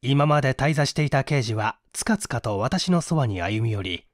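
A man reading a mystery story as dramatic narration, with measured, theatrical phrasing and deliberate pauses. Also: medium pitch, animated, dry recording, studio quality. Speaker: audiobook reader and small-theatre actor.

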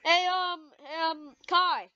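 An excitable gamer improvising a casual, dramatic video game roleplay. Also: extremely high pitch, slow pace. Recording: dull lo-fi mic with clear background noise.

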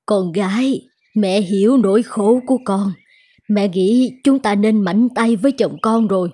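Husky, mellow older mother. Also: mid-range voice, unhurried, no room sound. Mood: sympathetic, resolved. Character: sympathetic, firm older mother. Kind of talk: animated folk-tale dubbing.

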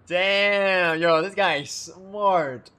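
A young man giving casual live reactions as a game streamer, excitable and slangy. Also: sits high, extremely slow. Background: game music.

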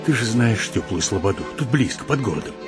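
A man's voice: fairly low pitch, expressive, a little fast, music in the background, close to an everyday voice. An actor playing a weak-willed, self-pitying elderly provincial father in a radio drama, hesitant and sighing. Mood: apologetic.

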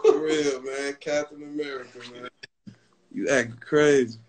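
A man's voice: mid-range voice, steady tone, moderate pace. A young man co-hosting a casual livestream from a home kitchen, blunt and slangy with callers. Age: young adult. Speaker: co-host of a livestream. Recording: noisy phone livestream with clicks.

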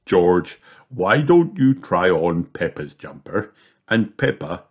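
Man playing a deep-voiced jolly daddy pig. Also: sing-song, takes it slowly, breathy edge, heavily acted. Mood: thoughtful. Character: jolly, reassuring father pig. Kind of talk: children's storybook read-aloud.

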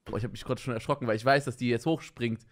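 A man's voice: medium pitch, rapid-fire, a little hiss or hum. A young man streaming from his room, casually reacting to meme clips and laughing often, talking into a studio microphone. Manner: chatty, laughs often, easily startled. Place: streaming room with colored lights. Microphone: studio microphone in front, headphones on.

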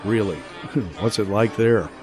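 A man's voice: fairly low pitch, slow, a little mellow, soft background music, a faint crowd. An actor playing a polite, courteous male college professor of about fifty in an audio drama.

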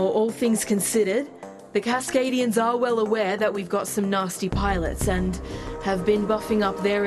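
A woman's voice: mid-range voice, lightly acted. A woman playing a frank, wry special forces liaison giving a plain-spoken mission briefing in a game.

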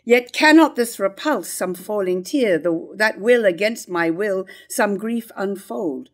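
An older woman plays a grieving queen torn between love and hate. She speaks her verse very slowly and deliberately, at a middling pitch. The delivery is declamatory, in the manner of a classical stage tragedy. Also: lively intonation, lightly acted. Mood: torn, sorrowful. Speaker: actor in a staged play reading. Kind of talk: staged reading of early modern verse drama. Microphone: stand microphone at a lectern.

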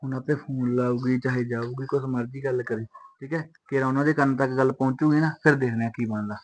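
A man's voice: mid-range voice, steady tone, quick pace, darkish tone, lightly acted. A man playing a young son caught between his wife and his mother, pleading and reasoning with both. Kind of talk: Punjabi family drama acting.

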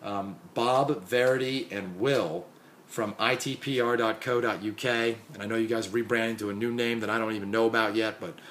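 Hoarse, tired young man vlogging. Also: on the low side, fairly even intonation, moderate pace, slight background noise. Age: young adult. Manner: chatty, filler-heavy shout-outs. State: hoarse and exhausted. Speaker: marketing consultant. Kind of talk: casual conference recap vlog. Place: small dim room indoors.

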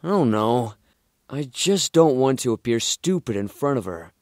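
A man playing a kind, polite and shy young suitor in an audio drama, gentle and earnest. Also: mid-range voice, big pitch swings, normal speed, no room sound, clean studio sound, natural voice. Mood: nervous.

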